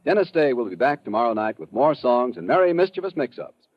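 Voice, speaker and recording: A man's voice: medium pitch, sing-song, quick pace. A man reading scripted announcements as a polished, promotional 1950s radio announcer on a dull old recording. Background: music.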